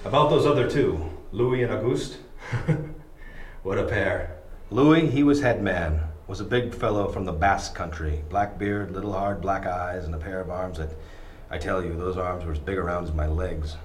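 Low-voiced, echoey lighthouse keeper spinning a horror tale played by a man. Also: close to an everyday voice. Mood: wry. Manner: theatrical, savoring, deliberate storytelling with drawn-out phrases. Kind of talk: dramatic first-person radio play narration. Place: on location inside an unused lighthouse. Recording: echoey stone lighthouse interior, clear background noise.

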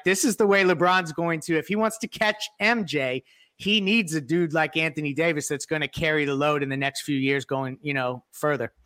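A man's voice: on the high side, fairly bright tone, no echo. A man chatting casually about sports as a podcast panelist.

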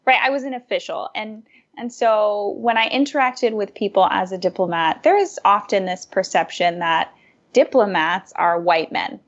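A young adult woman being interviewed, giving thoughtful, animated answers with fillers and restarts. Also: mid-range voice, normal speed. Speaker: guest, former diplomat and food blogger. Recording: muffled lo-fi audio.

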